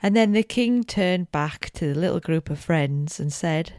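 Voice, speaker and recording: A woman's voice: lowish voice, lively intonation, measured pace, darkish tone, no echo, studio quality. A middle-aged woman narrating a scripted bedtime story as a podcast co-host.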